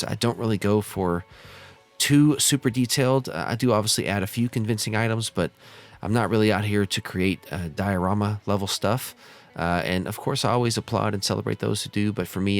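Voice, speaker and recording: An American man with a slightly hoarse, stuffed-up voice from a cold, giving easygoing, casual tutorial commentary as a channel host. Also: on the low side. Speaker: host of a model railroad simulator channel.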